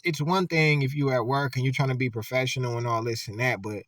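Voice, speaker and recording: A man's voice: medium pitch, steady tone, brisk, a bit bright, dry recording, clean studio sound, recorded from a distance. A man giving loose, conversational reaction commentary, full of filler words.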